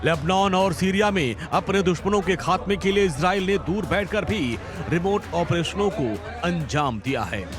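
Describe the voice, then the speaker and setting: A man's voice: high-pitched, lively intonation, moderate pace, hardly any pauses. A man narrating a news feature in a dramatic, emphatic scripted style. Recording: voice-over with loud music bed.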